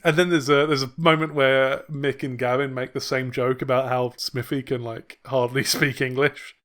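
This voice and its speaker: A man's voice: medium pitch, level tone, moderate pace, a bit bright. A young man co-hosting a podcast, recapping a sitcom in loose, rambling casual chat, swearing and laughing often. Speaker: podcast co-host.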